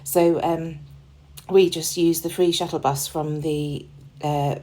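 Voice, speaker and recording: A woman with a low, mellow voice, a little hoarse, talking through a craft project in a relaxed, chatty way. She fills pauses with ums and uhs as she explains each step. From United Kingdom. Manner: relaxed and chatty, with frequent ums and small laughs. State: slightly hoarse, losing her voice. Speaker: crafting channel host. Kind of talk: casual voice-over narrating a scrapbooking process.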